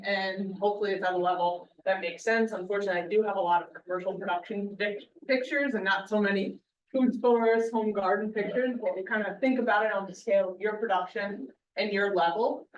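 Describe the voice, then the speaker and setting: A woman giving a conference talk to a live audience in a loud, projecting voice, chatty and quizzing the crowd. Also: medium pitch, fairly even intonation, a little fast, highs cut off, slight background noise. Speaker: guest speaker, soil scientist. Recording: roomy hall, mic far off.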